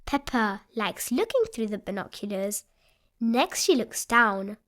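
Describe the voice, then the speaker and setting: A woman's voice: on the high side, big pitch swings, a little slow, heavy background noise. A woman reading a storybook aloud expressively for small children. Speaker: storybook reader.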